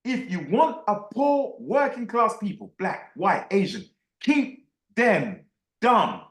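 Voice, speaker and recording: High-pitched, animated man in an echoey room. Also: takes it slowly. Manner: emphatic, insistent, repeats phrases for effect. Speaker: host of an online book club discussion. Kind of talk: animated live group discussion.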